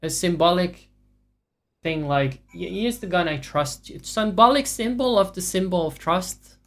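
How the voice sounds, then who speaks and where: A man's voice: high voice, lively intonation, normal speed, a bit bright. A man livestreaming a video game, giving casual commentary in a profane, sarcastic, ranting way. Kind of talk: casual live gameplay commentary.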